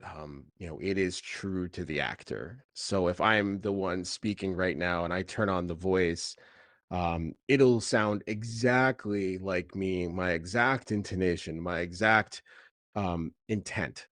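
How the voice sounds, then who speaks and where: A man explaining technology as an interview guest, in a casual, halting way with repeated words. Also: sits low, a bit bright, no echo, studio quality. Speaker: tech founder as podcast guest.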